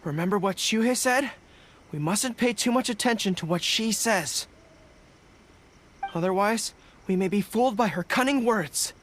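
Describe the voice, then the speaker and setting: A woman voicing an earnest, excitable young male dog-eared general in a video game, stammering when flustered. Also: medium pitch, moderate pace, long pauses, a little hiss or hum, lightly acted. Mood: wary, resolute. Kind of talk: video game character dialogue. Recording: game music behind the voice.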